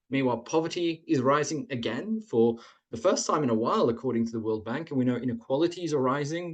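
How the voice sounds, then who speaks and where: A man's voice: medium pitch, husky. A man who grew up in Australia, presenting slides on a webinar over a computer mic, explaining points in an earnest way and stacking them in lists.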